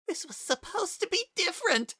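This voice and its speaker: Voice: very high voice, big pitch swings, moderate pace, pushed and bright, no room sound, studio quality, voice pushed up, exaggerated voice. A performer voicing a bitter, mocking, anguished lost child spirit in a strained, breaking voice, as in a dramatic storybook reading. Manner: taunting and wounded.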